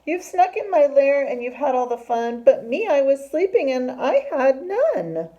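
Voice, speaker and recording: A woman's voice: high voice, very animated, unhurried, highs cut off, voice pushed up. A woman voicing a sad, left-out storybook bear who complains plaintively in rhyme. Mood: sad, aggrieved.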